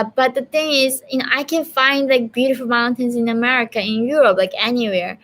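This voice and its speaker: Earnest Korean-accented woman, slightly high voice. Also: fairly bright tone, poor audio. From North Korea. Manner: earnest, talkative, many fillers and restarts. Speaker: livestream host answering viewer questions. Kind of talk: casual live Q&A.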